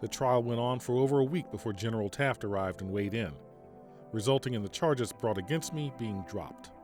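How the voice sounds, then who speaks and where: A man playing a stern, principled army general in an audio drama, speaking formally and deliberately. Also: medium pitch, darkish tone, soft background music, close to an everyday voice. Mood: grave, resolute. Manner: formal, measured, deliberate speech. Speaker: military general giving a radio interview.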